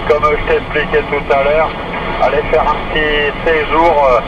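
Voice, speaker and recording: A man chatting over CB radio in a relaxed, drawn-out way, peppering his talk with fillers. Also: on the high side. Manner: chatty, drawn-out, punctuated with "voilà". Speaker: CB radio operator. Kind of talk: casual CB radio chat. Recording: dull CB radio transmission with heavy noise.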